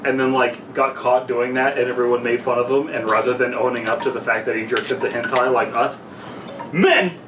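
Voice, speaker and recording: A young man hosting a podcast in casual banter, crude and jokey, telling stories excitably. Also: medium pitch, a little fast. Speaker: podcast host. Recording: roomy, distant mic, clear background noise.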